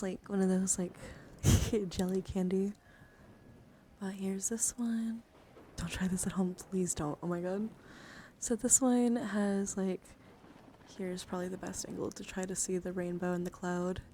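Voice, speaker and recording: Over clear ambient noise, a woman streams a casual ASMR chat to her viewers. Her voice sits at an average pitch. She talks in a halting, rambling way, as if thinking aloud. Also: fairly even intonation, dark tone. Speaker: livestreamer showing a dice collection.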